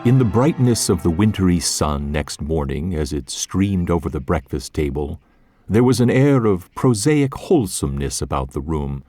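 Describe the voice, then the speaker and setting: A man's voice: low voice, sing-song, moderate pace, mellow, soft background music. A man narrating a literary story as part of a scripted audio drama.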